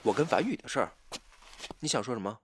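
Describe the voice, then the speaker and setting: A man playing a cocky, taunting rival in love in an audio drama, with a husky, theatrical drawl. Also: mid-range voice, expressive, quick pace, noisy background, lightly acted. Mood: casual.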